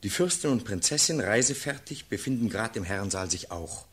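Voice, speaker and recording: An actor playing an earnest, steadfast officer addressing his sovereign in measured, declamatory verse in a classical radio play. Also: lowish voice, expressive, a bit bright, breathy edge. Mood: earnest narrating.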